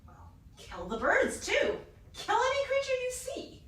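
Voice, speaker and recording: A woman's voice: very high voice, wildly swooping pitch, a bit bright, heavily acted. An actress playing a menacing, cold and squeamish woman cult leader who speaks imperiously in a covert recording. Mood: disgusted, commanding. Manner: slow, deliberate, imperious. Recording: distant echoey covert recording with noise.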